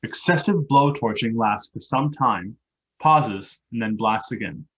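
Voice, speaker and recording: A man with a slightly husky voice reading stage directions as scripted narration for a play. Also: on the low side, unhurried, fairly bright tone, rough recording. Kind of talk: scripted narration at a live table read.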